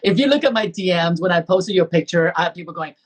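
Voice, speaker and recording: A man's voice: sits high, expressive, quick pace, husky. A man hosting a talk show, effusive and rambling, with many restarts. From Taiwan. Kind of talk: casual interview chat.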